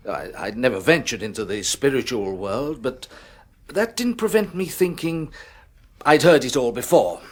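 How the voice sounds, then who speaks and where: A man's voice: mid-range voice, expressive, normal speed, natural voice. An actor playing a scholarly museum man, once a skeptic and now awed, who halts and drops into whispers, in 1980s radio drama style. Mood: earnest. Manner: hesitant, drops to hushed whispers.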